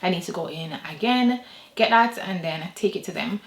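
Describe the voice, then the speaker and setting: A woman's voice: fairly low pitch, normal speed, roomy sound, a little hiss or hum. A woman vlogger chatting casually and rambling to her viewers from home. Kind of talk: casual personal vlog chat.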